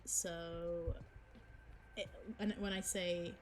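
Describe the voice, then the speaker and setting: A young woman streamer chatting casually with viewers, giggling and teasing herself, her voice a little low for a woman and her throat sore. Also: flat delivery, drawn-out words, a little hiss or hum, poor audio. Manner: chatty, giggly, self-mocking. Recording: music playing behind the voice.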